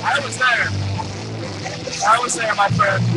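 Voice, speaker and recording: A high-voiced man gives casual post-game sports commentary as the host of a live webcam stream. He speaks bluntly and colloquially, as a fan would, with music clearly audible behind him. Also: fairly even intonation, a little slow, rough recording.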